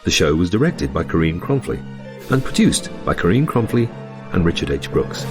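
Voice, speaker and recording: Lowish, lively male credits announcer. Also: moderate pace. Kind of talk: scripted end credits announcement. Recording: loud music bed.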